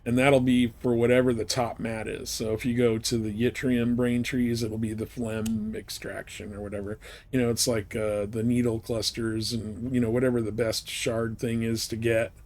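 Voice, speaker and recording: A man's voice: mid-range voice, little pitch movement, moderate pace, darkish tone, slight background noise. A man live-streaming a game, giving casual commentary and advice in a rambling, informal way.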